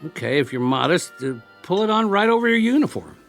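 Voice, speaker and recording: Chatty, stammering American soldier. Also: male voice, high voice, big pitch swings, a little fast, gravelly, a bit bright, exaggerated voice. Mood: eager, awkward. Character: mouthy, eager-to-please American soldier courting a wary enemy woman. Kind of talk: radio drama acting. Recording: music under the voice.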